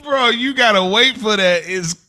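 A man's voice: high voice, moderate pace, pushed and bright. A man hosting a podcast in a casual chat about a TV show, speaking informally with plenty of slang. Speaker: podcast host. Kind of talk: casual chat reviewing a TV series.

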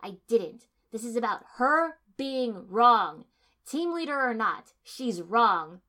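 A woman voicing a brash, angry young male ninja who argues bluntly and loudly. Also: on the high side, sing-song, slow pace, voice pushed up, heavily acted. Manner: loud, blunt, exasperated shouting.

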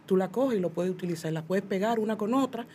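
A woman's voice: medium pitch, quick pace, mellow. A woman from the Dominican Republic with a Dominican accent, talking casually as an interview guest and repeating phrases for emphasis. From Puerto Plata, Dominican Republic. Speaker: guest, muralist and illustrator. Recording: faint background noise, ambient sound.

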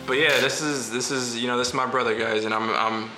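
A man hosting a podcast, chatting casually in slang, teasing his friend and telling stories in an animated way. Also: mid-range voice, brisk. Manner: animated storytelling, teasing, quotes people in slangy talk. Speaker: podcast host interviewing a friend. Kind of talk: casual bantering chat with slang. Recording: roomy echo, loud music behind. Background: music.

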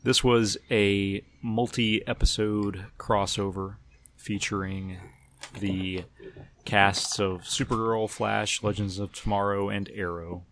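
Gravelly, low-voiced man hosting a podcast. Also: level tone, slow, fairly bright tone, a little hiss or hum. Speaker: podcast host. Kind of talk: casual chat about TV episodes.

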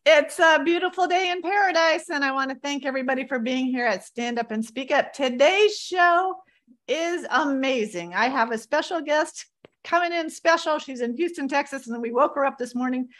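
A woman hosts an interview show and greets her guest with emphatic, upbeat energy. Her voice sits a little on the higher side. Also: normal speed, fairly bright tone. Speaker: host. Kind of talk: talk show hosting.

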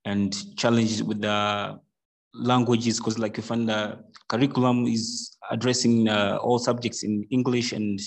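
Low-voiced man. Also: flat delivery. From Tanzania. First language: Swahili. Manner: halting, searching for words. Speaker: guest, charity team member. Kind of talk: interview answer.

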